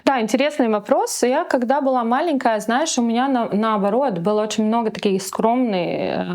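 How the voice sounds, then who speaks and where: A woman's voice: on the high side, normal speed, a little mellow. A young woman with a Latvian accent, speaking as an interview guest; she hesitates with many fillers and slips English words into her speech. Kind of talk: casual interview chat.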